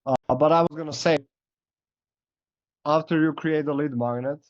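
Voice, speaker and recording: Hoarse man coaching on a call. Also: fairly high pitch, fairly even intonation, normal speed, no room sound. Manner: loose, filler-heavy explaining. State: hoarse, losing his voice. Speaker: coach giving feedback. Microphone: video call. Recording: dull, lo-fi video call audio.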